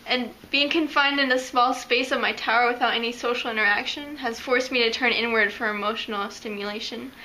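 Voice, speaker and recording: A woman's voice: a little high, pushed and bright. A woman playing a sheltered, resentful eighteen-year-old fairytale maiden in an amateur comedy skit, blunt and petulant. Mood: earnest. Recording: roomy, distant mic, some background noise.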